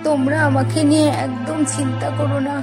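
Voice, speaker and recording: Cartoon casting: actress as ailing young daughter, reassuring, high voice over music. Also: female voice, flat delivery, a little fast, natural voice. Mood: reassuring, brave. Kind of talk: cartoon story dialogue. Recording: music under the voice.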